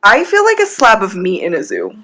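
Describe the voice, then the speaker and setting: A woman's voice: mid-range voice, huge pitch swings, a little fast, bright, forceful tone, soft background music, full character voice. A woman playing a frank teenage girlfriend in an audio drama. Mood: uneasy. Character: frank teenage girlfriend, affectionate but easily alarmed.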